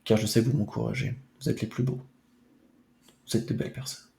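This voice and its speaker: A man's voice: lowish voice, level tone, a little fast, vocal fry, mellow, echoey room. A man streaming a retro football game, chatting casually with playful, mock-dramatic asides. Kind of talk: casual let's-play chat.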